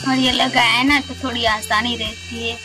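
A woman's voice: fairly high pitch, flat delivery, normal speed, background noise. A young woman vlogging casually while she does household chores, explaining each task to viewers on a phone held at arm's length outdoors. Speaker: village vlogger. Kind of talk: casual vlog narration while doing chores. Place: rural home courtyard and fields, outdoors. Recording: music playing behind the voice.

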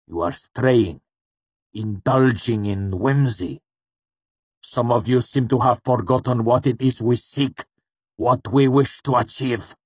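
A man voicing a hooded dark lord in a fantasy story, cool and soft, speaking with patient, polite menace. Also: on the low side, slightly rough, dry recording, voice pushed up. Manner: calm, polite, deliberate menace.

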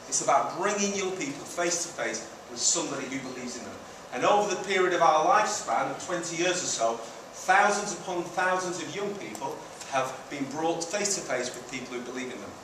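A middle-aged man with a fairly high voice speaks informally to a meeting. He uses short emphatic phrases and rhetorical questions. The sound is heavily echoey, caught by a distant mic in a community centre room. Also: noisy background. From Manchester, England, and Italy. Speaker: charity founder giving a presentation. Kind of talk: informal public presentation. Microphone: distant camera at the back of room.

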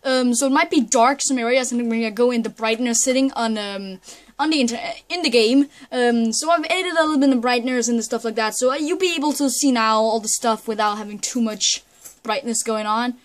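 A young woman introducing her game playthrough in loose, rambling chat. Her voice sits a little high. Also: brisk. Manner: chatty, rambling, many fillers and restarts. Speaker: gaming channel host. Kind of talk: casual let's play commentary.

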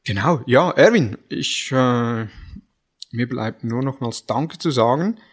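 A man's voice: mid-range voice, animated, slow pace, slightly rough. Swiss man hosting a podcast. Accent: Swiss German. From Switzerland. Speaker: podcast host. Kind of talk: casual podcast interview.

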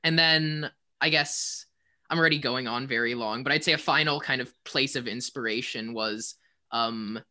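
Very bright-voiced man, thinking aloud. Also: mid-range voice, moderate pace. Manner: rambling, hedging, thoughtful. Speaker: co-composer and sound designer of a theater show. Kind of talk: casual panel discussion.

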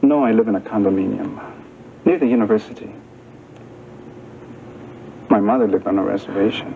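An actor playing a polite, composed young man in a soap opera, measured and mildly wry. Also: lowish voice, gravelly. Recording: muffled, noisy 1980s TV tape.

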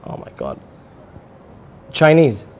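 A young man co-hosting a playful studio chat game, easygoing and quick to laugh, on a lapel mic. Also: fairly high pitch, huge pitch swings. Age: young adult. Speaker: co-host. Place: studio set with lounge chairs.

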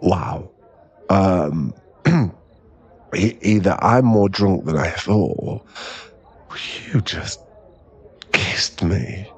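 A man playing a drunk, clingy, lovesick boyfriend in an intimate ASMR roleplay, with halting, pleading speech and a husky, gravelly voice. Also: deep voice, animated, heavily acted. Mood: surprised, smitten. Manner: tipsy, halting, drawn-out, pleading delivery.

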